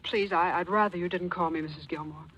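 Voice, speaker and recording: An actress playing a flustered, secretive secretary and widow, hesitant and pleading, in 1940s radio drama style. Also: female voice, fairly low pitch, fairly even intonation, quick pace, fairly bright tone. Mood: urgent, nervous. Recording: muffled 1940s radio recording.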